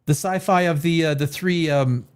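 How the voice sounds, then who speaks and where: A man's voice: on the high side, normal speed, clean tone. Opinionated man chatting casually. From Canada. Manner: opinionated, emphatic, repeats phrases for emphasis. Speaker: livestream host. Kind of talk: casual film chat, rambling commentary.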